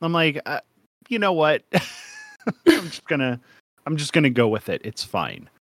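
A man's voice: fairly high pitch, big pitch swings, moderate pace. A young man co-hosting a podcast in casual chat, jokey and rambling, laughing often. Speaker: podcast co-host.

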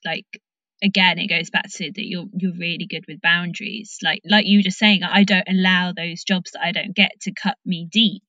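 Bright-voiced woman hosting a casual chat. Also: on the low side, fairly even intonation, normal speed, no echo, lo-fi sound. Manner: supportive, informal, thinks aloud. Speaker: podcast host. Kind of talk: casual conversational interview.

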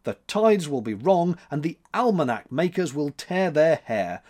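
A man's voice: on the high side, big pitch swings, a little slow, a little mellow, voice pushed up. A man voicing a blustering, scolding male spirit of a month in a storybook reading. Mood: grumbling threats. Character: March, blustering wild-haired month spirit, grumbling and threatening.

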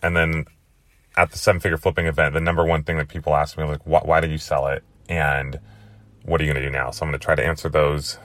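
A young man with a hoarse, worn-out voice hosting his podcast in a rambling, chatty way. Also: extremely low pitch, little pitch movement, fast talker, a bit bright, dry recording. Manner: chatty, rambling with many fillers. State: hoarse, lost voice. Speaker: podcast host. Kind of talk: casual monologue.

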